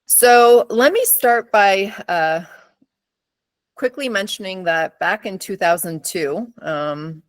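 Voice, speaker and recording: A woman in her forties, from Iran, giving a conversational webinar presentation over a video call with plenty of ums and lively asides. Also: medium pitch, slow, dry recording. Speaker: program director presenting.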